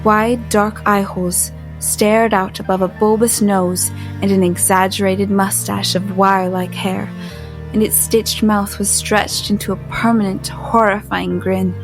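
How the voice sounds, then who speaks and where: A woman's voice: medium pitch, flat delivery, a little slow, lightly acted, low-quality recording. Apprehensive heroine over music, voiced by a woman. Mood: measured, foreboding. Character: apprehensive but resolute female narrator-heroine. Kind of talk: first-person audio drama narration. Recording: music under the voice.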